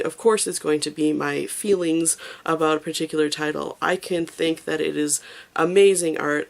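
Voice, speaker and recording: A manga review vlogger explaining their views to camera, casual and thoughtful, pausing often with fillers and stressing pet peeves. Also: mid-range voice, vocal fry.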